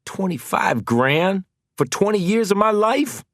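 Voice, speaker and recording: A man's voice: sits high, very animated, a little slow, no room sound, clean studio sound, pitched above the performer's own voice. A man voicing a bitter, wrongly convicted former football star in an audiobook, blunt, slangy and frustrated. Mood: angry, frustrated. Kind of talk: dramatic audiobook character dialogue.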